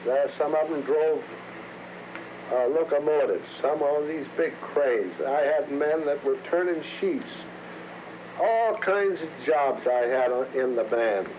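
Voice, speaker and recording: A man's voice: on the high side, slow pace, noisy background. An older man telling stories of leading a company band, quoting his old conversations in a plainspoken way. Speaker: former bandleader. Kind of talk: archival interview reminiscence. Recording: dull archival radio recording with music.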